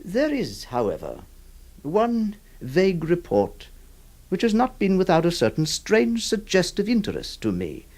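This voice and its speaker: A man playing a troubled, compassionate lawyer who narrates in solemn, halting, literary phrases for a radio drama. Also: sits high, huge pitch swings, a little mellow, exaggerated voice. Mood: reflective. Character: troubled, compassionate male lawyer recounting a sad history.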